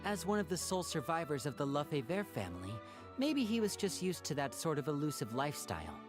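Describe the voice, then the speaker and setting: A man playing a playful, curious young companion in a video game, with an expressive, inquisitive delivery and music behind. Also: fairly high pitch, a little fast. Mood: curious, speculating.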